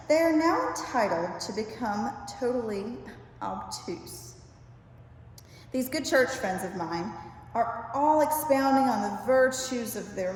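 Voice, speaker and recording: An older woman reads a humorous essay aloud with expressive, wry storytelling delivery. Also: fairly high pitch. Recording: very echoey reverberant room, faint hiss.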